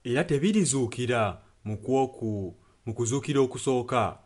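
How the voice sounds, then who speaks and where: A man's voice: medium pitch, brisk, a little mellow. A man interpreting a sermon and prayer solemnly, phrase by phrase. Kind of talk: consecutive translation of a sermon and prayer.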